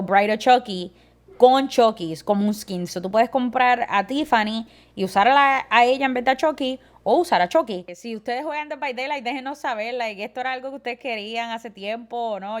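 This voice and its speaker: A woman's voice: on the high side, quick pace. A woman, co-hosting a casual podcast chat and mixing Spanish and English. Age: 30s. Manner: switches between Spanish and English mid-sentence. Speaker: podcast co-host.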